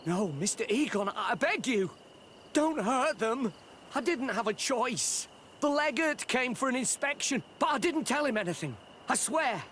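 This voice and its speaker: A man's voice: extremely high pitch, expressive, normal speed, a bit bright, slight background noise. An actor playing a nervous, frightened male informant who stammers and pleads, in a video game. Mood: terrified.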